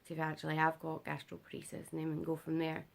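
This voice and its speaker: A young British woman talks to camera in a low, deep register, her voice heavily gravelly and rough from illness. She rambles through a casual update full of fillers, sounding worn out and short on sleep. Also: completely flat delivery, racing. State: unwell and sleep-deprived. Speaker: vlogger. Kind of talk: casual health update vlog.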